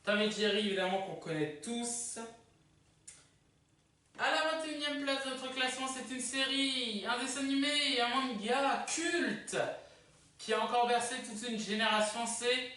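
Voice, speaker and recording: A presenter casually hosting a countdown video, chatty and halting, with self-corrections. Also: medium pitch, pushed and bright. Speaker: host of a countdown video channel. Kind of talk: casual presenting of a ranking list to camera. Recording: echoey room, distant mic.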